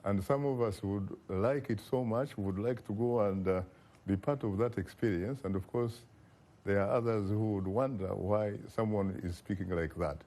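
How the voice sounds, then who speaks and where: A man hosting a broadcast interview, asking measured questions with frequent pauses and fillers. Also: fairly low pitch, dark tone. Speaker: talk show host.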